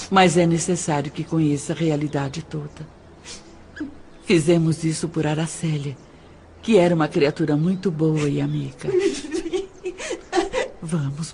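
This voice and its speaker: A woman playing a practical middle-aged adoptive mother in an audio drama, explaining in measured phrases with emphatic pauses. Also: low-pitched, vocal fry, darkish tone, slight background noise. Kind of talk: dramatized audio novel dialogue.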